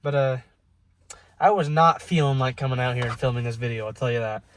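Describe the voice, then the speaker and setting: A young man with a cold-raspy voice chatting excitedly to camera while car camping by a lake, casual and full of "dude" and "like". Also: medium pitch, fairly quick, a little hiss or hum. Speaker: vlogger. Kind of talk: casual vlog chat to camera.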